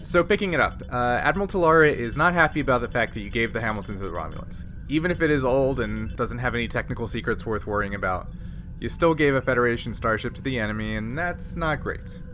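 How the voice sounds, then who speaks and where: A man's voice: medium pitch, expressive, quick pace, a bit bright, a little hiss or hum. A man narrating as game master of a tabletop roleplaying game, explaining in a conversational way. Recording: music playing behind the voice. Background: music.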